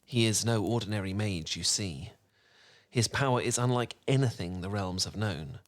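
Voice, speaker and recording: A man playing a charming, learned wizard who tells a bedtime story in a soft, velvety, theatrical and slightly pompous voice, in a soothing fan roleplay. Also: lowish voice, lightly acted. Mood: hushed, dramatic. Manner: theatrical, eloquent, unhurried, gently pompous.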